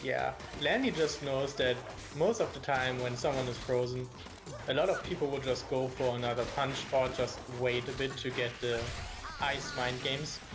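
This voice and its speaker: Casting: German-accented male commentator, mid-pitched, live play-by-play, game music under the voice. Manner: quick, engaged play-by-play, reacting to the action. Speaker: esports commentator.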